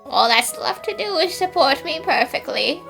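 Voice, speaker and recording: A woman voicing a kindly, devoted veteran bank manager in a cartoon fan dub, gentle and reassuring. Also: high voice, animated, moderate pace, gravelly, faint music behind, exaggerated voice. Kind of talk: animated mystery fan dub acting.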